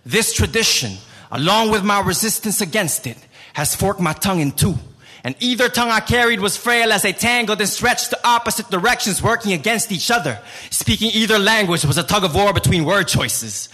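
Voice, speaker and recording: A man with a Filipino accent, Tagalog his first language, performing spoken word poetry at a live slam with a forceful, rhythmic delivery that swells into shouts. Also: high voice, a little fast, breathy edge. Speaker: slam poet. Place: live venue before an audience.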